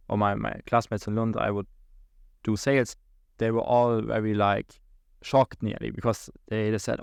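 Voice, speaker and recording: Young German-accented man, podcast guest; gravelly, monotone, halting and filler-heavy. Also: medium pitch, no room sound, studio quality. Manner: halting, many restarts and fillers, laughs between thoughts. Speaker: guest, account executive. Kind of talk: podcast interview.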